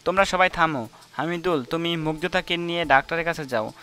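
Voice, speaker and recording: A man's voice: fairly high pitch, fairly quick, sharp tone, faint music behind. A man playing a stern, commanding old grandfather in an audio story. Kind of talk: Bengali audio story acting.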